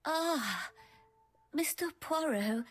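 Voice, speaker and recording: An actress playing an ailing, querulous upper-class widow in a video game, languid and haughty. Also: female voice, high voice, animated, very slow, a bit bright, soft background music, heavily acted. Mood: frail, grateful.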